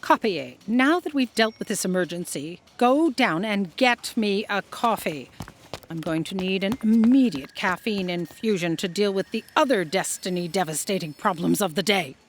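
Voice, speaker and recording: A woman acts out an imperious female boss for a comic sci-fi audio drama. The voice is mid-pitched and very animated. Orders come out curt, impatient and dismissive. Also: moderate pace, a little hiss or hum, close to an everyday voice.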